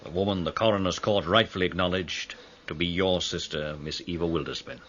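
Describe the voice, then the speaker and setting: Formal pathologist, deep voice, precise emphatic delivery, radio drama, faint noise behind. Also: male voice, brisk, a bit bright. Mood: formal, certain. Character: formal, precise Home Office dental pathologist. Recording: dull radio recording, faint background noise.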